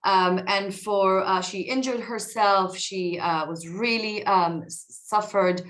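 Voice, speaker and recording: A woman in her forties with an Israeli accent, talking about her life on a video call from her home basement, a little hoarse from a cold, reflective, with many fillers and restarts. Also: medium pitch, fairly even intonation, slow, a bit bright. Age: 40s. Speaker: guest speaker. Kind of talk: personal talk over a video call.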